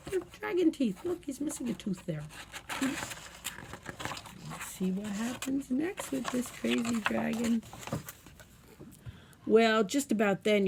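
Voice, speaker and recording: A woman reads a children's picture book in a very animated, expressive way. Her mid-pitched voice has some creak in it. She drops in chatty asides and questions, and there is some noise in the recording. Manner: expressive, playful, asks the listeners questions. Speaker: storytime reader at a library.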